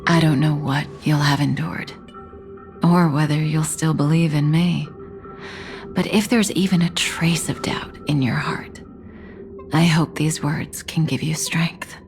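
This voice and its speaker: A mysterious, self-possessed woman in a video game. She speaks slowly in a lowish register, solemn and tender, sometimes hushed but always in command. Soft music sits behind her. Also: steady tone. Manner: measured, solemn, hushed at times. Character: composed, enigmatic adult woman, tender and commanding.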